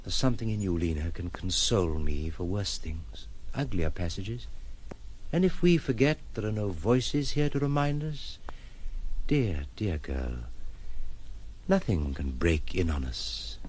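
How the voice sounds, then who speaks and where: An actor playing a troubled, tender, reclusive gentleman in an audio drama, with a formal and fretful manner. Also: deep voice, sing-song, unhurried, slight background noise. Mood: fretful, reassuring.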